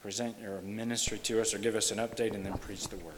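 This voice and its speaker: Pastor introducing from across a hall. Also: male voice, lowish voice, flat delivery, quick pace, slight background noise. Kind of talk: introducing a speaker. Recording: reverberant hall, faint ambience, distant.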